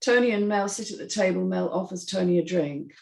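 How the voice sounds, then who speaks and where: Female screenplay narrator, lowish gravelly voice, scene-by-scene script reading, roomy sound. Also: a little fast, a little mellow.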